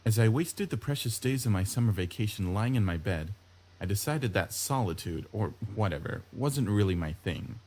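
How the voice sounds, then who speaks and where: Low-pitched man reading a story aloud. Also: lively intonation, normal speed, a little mellow. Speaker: let's play host reading aloud. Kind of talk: scripted first-person story narration. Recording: guitar music behind the voice. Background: plucked guitar music.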